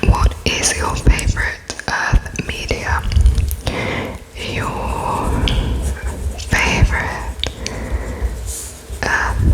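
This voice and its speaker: A woman speaks in a breathy whisper, very slowly and haltingly. She plays a polite, gentle interviewer in an ASMR roleplay, often pausing between words as though writing them down. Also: poor audio. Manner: hesitant, halting, dictating slowly as she writes notes. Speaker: ASMR performer playing an interviewer.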